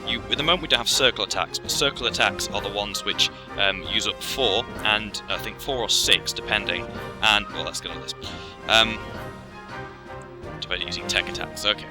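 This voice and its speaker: A man's voice: fairly low pitch, moderate pace. A man giving a casual, rambling gameplay explanation as he plays. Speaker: game commentator. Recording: game music behind voice. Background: video game music.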